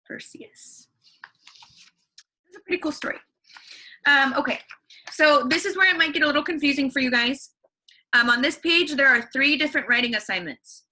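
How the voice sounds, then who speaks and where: Brief: young woman teacher, bright ringing voice, upbeat, quizzes her class and answers herself, computer mic at home. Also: sits high. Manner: upbeat, asks questions and answers them herself. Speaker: elementary school teacher. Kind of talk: read-along lesson for young students at home. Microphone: computer microphone.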